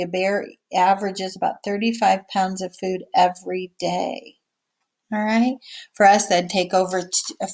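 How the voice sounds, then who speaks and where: A woman teacher reading a picture book aloud and explaining it simply and casually to children, often checking that they follow. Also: mid-range voice. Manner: explains simply, checking in with okay and all right.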